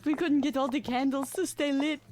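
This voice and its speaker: A woman's voice: sits high, steady tone, a little fast, a little mellow, close to an everyday voice. A woman acting in a radio drama as a suburban wife and mother. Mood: bright, festive.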